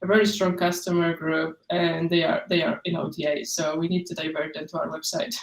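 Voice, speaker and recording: A woman's voice: low voice, little pitch movement, a little fast. Echoey, distant woman explaining informally. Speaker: student presenter taking questions. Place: remote video call. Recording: echoey room, distant microphone.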